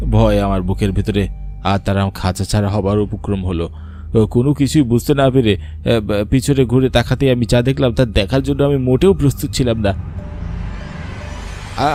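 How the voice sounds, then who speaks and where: A man playing an uneasy, frightened father who narrates his own horror story dramatically, halting with fearful stammers. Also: mid-range voice, mellow, natural voice.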